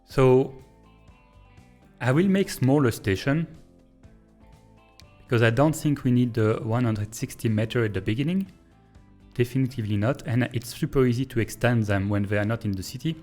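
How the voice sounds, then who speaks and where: A man with a French accent, a native French speaker, thinking aloud in a hesitant, pause-filled way as he streams and comments on a train-building game. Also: medium pitch, darkish tone, faint music behind. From Belgium. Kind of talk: casual live-stream gameplay commentary.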